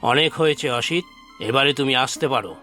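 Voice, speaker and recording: A man voicing a calm, caring village council chief in a cartoon, speaking in a measured, admonishing, dignified way. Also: medium pitch, faint music behind. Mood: stern disappointment. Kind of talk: Bengali cartoon dialogue.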